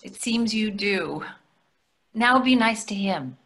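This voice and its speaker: A woman's voice: mid-range voice, lively intonation, slow, a bit bright. A woman in her sixties playing a placating, dryly chiding wife in a readers theatre play. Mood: needling. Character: placating, gently needling middle-aged wife. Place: plain room indoors on a video call.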